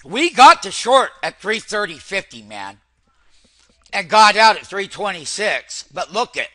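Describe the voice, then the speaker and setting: A man with a high, piercingly bright voice delivers live stock-trading commentary. He is excitable and full of hype, hammering phrases again and again as he talks straight to his viewers. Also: unhurried. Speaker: stock trader and host.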